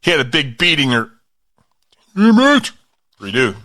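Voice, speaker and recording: A man's voice: on the high side, very animated, unhurried, pushed and bright. A man performing scripted drama lines, stopping to redo his takes. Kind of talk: reading scripted lines, retaking flubs.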